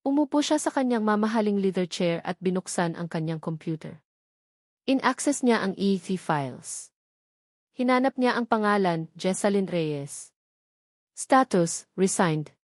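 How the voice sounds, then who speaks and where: A woman's voice: mid-range voice, lively intonation, a little slow, no room sound, clean studio sound. A woman with a Filipino accent reading a scripted romantic drama as a storyteller. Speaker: audio drama narrator.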